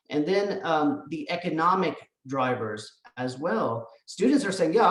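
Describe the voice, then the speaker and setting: A man with a mid-pitched voice delivers an academic talk. He explains in a conversational way, adding asides and posing rhetorical questions. The sound is roomy and echoey, as if the microphone sits some way from him. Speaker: presenter, education abroad specialist. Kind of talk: academic presentation, semi-scripted lecture. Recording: roomy echo, distant microphone.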